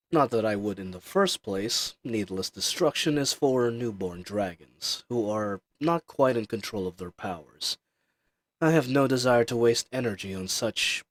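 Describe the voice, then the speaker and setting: A man voicing an ancient, powerful dragon in human form, speaking in a grandiose, condescending and seductive way in a roleplay audio drama. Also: fairly low pitch. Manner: slow, grandiose, formal, condescending, with drawn-out pauses. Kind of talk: scripted roleplay audio drama addressed to the listener. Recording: slight fuzzy microphone hiss.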